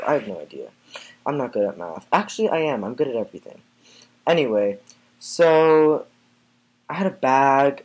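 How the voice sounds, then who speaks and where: A man's voice: mid-range voice, slight background noise, natural voice, rough recording. A man as an arrogant, self-adoring ancient hero vlogging to fans, casual and smug, filler-heavy chatter. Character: vain boastful male Greek hero turned vlogger. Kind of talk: comedic parody vlog.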